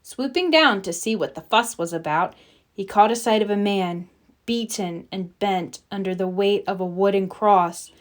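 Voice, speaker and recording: A woman's voice: mid-range voice, moderate pace. A woman narrating a scripted children's story as a read-aloud.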